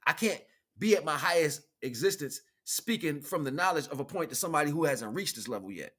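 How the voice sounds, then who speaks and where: A man's voice: a little high, expressive, a little fast, fairly bright tone, breathy edge. An American man giving a casual, off-the-cuff spiritual monologue in a slangy, streetwise, emphatic way, often repeating his points. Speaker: streamer giving spiritual teachings.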